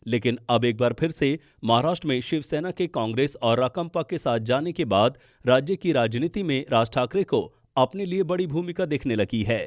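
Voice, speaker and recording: A man narrates a scripted political news piece for a podcast. His voice sits at a medium male pitch. The sound is very dry, with little room around it. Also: expressive, moderate pace, hardly any pauses, no room sound.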